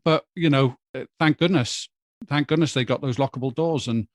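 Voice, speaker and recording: A man, a guest on a podcast, speaking in a measured, thoughtful way with hesitant starts. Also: mid-range voice, no echo, studio quality. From Wales. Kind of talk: casual podcast chat.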